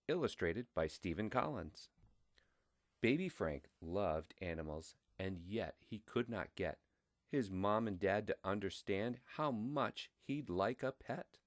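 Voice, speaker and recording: A man reading a children's story aloud in a deliberate, expressive way. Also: lowish voice.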